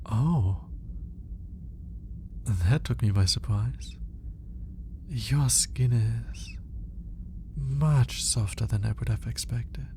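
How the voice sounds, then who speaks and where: A man performs an alien scientist in an intimate ASMR roleplay, studying with clinical curiosity and reluctant fascination. His voice is soft and mellow with a creaky, gravelly edge. He speaks slowly and with measured care, over a faint background noise. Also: medium pitch. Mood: flustered, fascinated. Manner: clinical, measured, hesitant when flustered.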